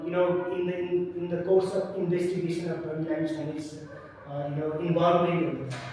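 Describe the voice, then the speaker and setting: High-pitched Nepali-accented man, echoey room. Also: darkish tone. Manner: hesitant, many fillers and restarts. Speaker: English teacher, guest. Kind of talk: casual podcast discussion. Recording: echoey room from far off, faint noise.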